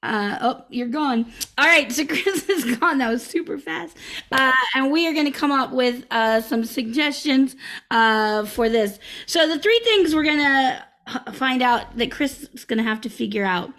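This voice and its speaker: A woman hosts a live improv comedy show over a video call. Her voice sits a little high, and her talk is upbeat and chatty, full of fillers and self-corrections. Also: expressive, measured pace, a bit bright.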